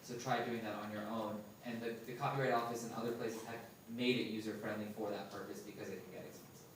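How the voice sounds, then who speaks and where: A man speaks in a low, level voice, laying out advice step by step and tagging points with "right?". He sounds distant, heard through heavy echo in a large event space. Steady background hum sits under him. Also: fast. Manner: methodical, often tags "right?". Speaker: panelist answering audience questions. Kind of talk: live panel Q&A. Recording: echoey hall from far off, noisy.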